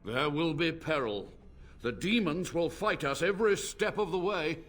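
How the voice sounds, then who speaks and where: An actor playing an ancient, unrepentant blood mage in a fantasy video game, speaking in a grave, formal, theatrical way. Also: male voice, fairly high pitch, lively intonation, normal speed, fairly bright tone. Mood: resolute, solemn.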